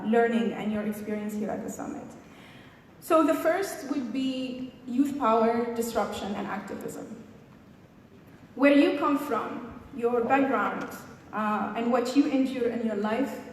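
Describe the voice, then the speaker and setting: A young woman from Tunisia with a Tunisian accent gives a motivational speech, addressing her audience directly. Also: fairly high pitch. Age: young adult. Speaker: keynote speaker at a youth summit. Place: large indoor hall at a summit. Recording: echoey hall, long reverb, background noise.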